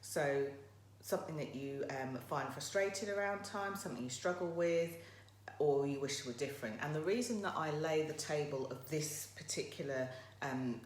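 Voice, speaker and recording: A woman with a croaky voice hosting a casual live motivational talk, chatting directly to her viewers. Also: low-pitched. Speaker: motivational livestream host. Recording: roomy echo, faint background noise.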